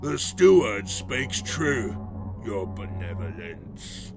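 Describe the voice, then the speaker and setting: A man plays a respectful troll subject of a king in a fantasy audio drama. The voice is gravelly and low, swooping in pitch as it solemnly speaks. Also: big pitch swings, slow, over music, exaggerated voice.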